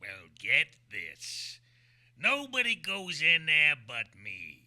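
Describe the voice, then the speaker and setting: A man's voice: fairly high pitch, huge pitch swings, takes it slowly, pushed and bright, heavily acted. An actor in an old-time radio play, playing a menacing, streetwise tough guy with bullying wise-guy talk. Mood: threatening.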